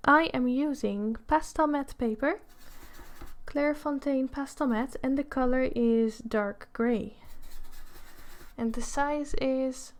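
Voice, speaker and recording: Slow-talking young woman with a higher voice. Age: twenties. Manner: unhurried, with pauses between short phrases. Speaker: art streamer and pastel artist. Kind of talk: casual livestream chat while drawing. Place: indoors at a drawing desk.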